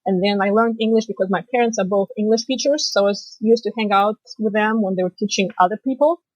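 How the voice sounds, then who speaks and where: A woman from Moldova with a Russian accent, native Romanian speaker, telling her story as an interview guest with lively storytelling. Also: mid-range voice, fast, no room sound, dull audio. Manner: lively storytelling, laughs easily. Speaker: guest, financial planner. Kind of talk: casual interview chat.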